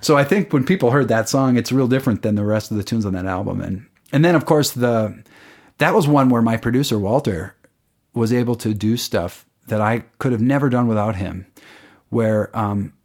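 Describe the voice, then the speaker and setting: A man's voice: medium pitch, normal speed, a little mellow. A man, a songwriter guest, talking casually in an interview and explaining at length with lots of fillers. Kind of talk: casual radio interview chat.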